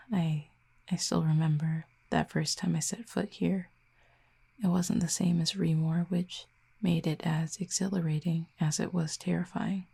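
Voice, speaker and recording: Woman doing a wistful exiled cupid, slow and hushed, kinda flat, half whispering in an audio rp. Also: fairly low pitch, vocal fry, slightly rough, heavily acted. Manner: soft, hushed and slow, often near a whisper. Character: exiled cupid, gentle, wistful, soft-spoken. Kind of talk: audio roleplay to the listener.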